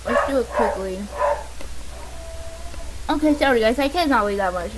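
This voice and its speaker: A woman's voice: on the high side, lively intonation, moderate pace. A young woman in her teens giving casual gameplay reactions and reading along at home. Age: teens, high-school student. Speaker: gameplay commentator. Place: at a desk at home. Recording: noisy home recording, dog barking. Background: dog barking, game audio.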